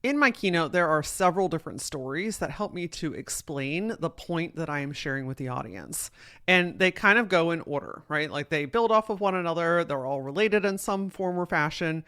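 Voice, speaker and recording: A woman in her thirties with a deep voice, hosting a solo podcast and telling a personal story in a candid, swearing, animated way. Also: moderate pace. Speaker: podcast host and keynote speaker. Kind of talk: casual solo podcast monologue.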